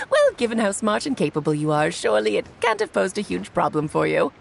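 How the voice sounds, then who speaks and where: A woman's voice: mid-range voice, very animated, normal speed, creaky, a little hiss or hum. A woman playing an elegant, teasing, mysterious witch in a video game, poised and theatrical. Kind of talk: video game character acting.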